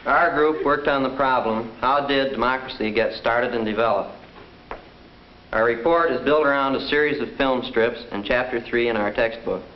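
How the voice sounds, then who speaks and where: A man's voice: mid-range voice, moderate pace, lightly acted. A young man playing an earnest schoolboy who recites a group report in a 1950s educational film. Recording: echoey room, muffled old film, faint noise.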